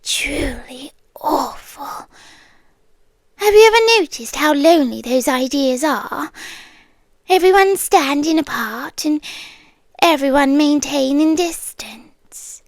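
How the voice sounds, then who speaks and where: A British woman playing a possessive, softly menacing yandere girlfriend in an ASMR roleplay, breathy and whispery, sweetly condescending, close on the mic. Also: high voice, animated, slow pace, dry recording, heavily acted. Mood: disdainful, clingy. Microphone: close microphone.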